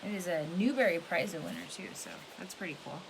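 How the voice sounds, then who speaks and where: A woman's voice: on the low side, brisk, creaky. A woman reading a children's picture book aloud expressively, stretching key words and adding casual asides. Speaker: storybook reader. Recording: hissy home recording with background noise.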